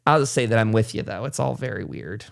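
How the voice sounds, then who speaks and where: A man's voice: fairly low pitch, animated, quick pace, vocal fry. A man hosting a podcast in a casual, conversational way, informal and encouraging as he rambles along. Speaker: podcast host.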